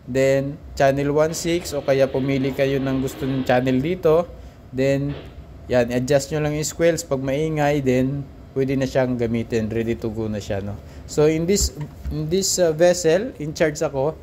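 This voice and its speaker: A man's voice: on the high side, a little mellow, slight background noise. A man, a ship's officer, casually demonstrating equipment aboard a vessel while filming on a handheld camera, with frequent tag questions and restarts. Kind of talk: casual instructional demo. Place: aboard a ship.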